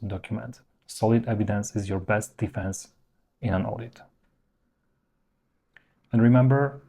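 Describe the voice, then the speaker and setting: A man with a low, soft-edged mellow voice that has a noticeably husky grain. He delivers a professional explainer to viewers in a measured, orderly way, putting weight on the key points. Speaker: presenter of professional explainer videos. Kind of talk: instructional explainer, semi-scripted narration to viewers.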